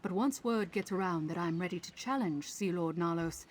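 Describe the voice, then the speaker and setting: A commanding pirate captain acted by a woman with a slightly low voice and a wry, dramatic delivery. She sounds like a vengeful video game mercenary, with clear noise behind her. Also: moderate pace, lightly acted. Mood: wry, confident. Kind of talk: video game character acting.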